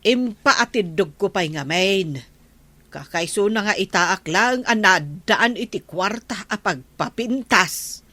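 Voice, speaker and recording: A woman's voice: mid-range voice, animated, takes it slowly, pushed and bright, no echo, heavily acted. A woman with a northern Philippine accent playing a bossy, vain, nagging village wife in an audio comedy. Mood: complaining, haughty. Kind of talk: comedy audio drama acting.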